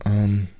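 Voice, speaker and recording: A man's voice: fairly low pitch, dark tone, dry recording. A man with a cold commentating on his own blitz chess games, hesitant and prone to stammering restarts. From not from the United States. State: congested with a cold. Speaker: chess streamer.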